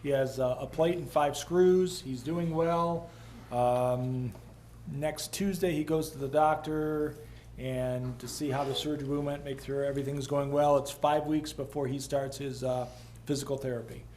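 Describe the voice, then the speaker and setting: A police chief, a man, giving a calm, matter-of-fact update at a council meeting. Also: medium pitch, a little slow, slight background noise.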